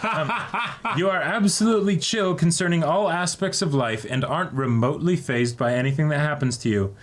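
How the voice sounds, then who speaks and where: A man co-hosting a casual unboxing video, chatting and reading aloud in a halting, conversational way. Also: a little high. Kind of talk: casual banter, some reading aloud from a letter. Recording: noisy room, background ambience.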